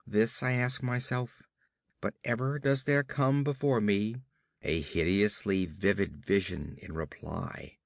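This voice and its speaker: A man's voice: fairly low pitch, unhurried, gravelly, no echo, studio quality. A man reading a horror story aloud as an audiobook narrator, theatrical and dramatic, drawing out emphatic words.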